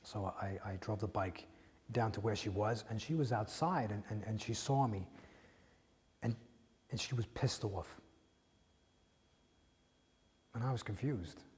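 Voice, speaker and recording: An actor playing a grieving widower who talks bluntly and tenderly, swearing often and stumbling over restarts. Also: male voice, fairly low pitch, a little mellow, big-room sound, natural voice. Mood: wistful. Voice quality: profane, halting with repeated restarts. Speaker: widower speaking to a grieving listener. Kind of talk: emotional dramatic monologue.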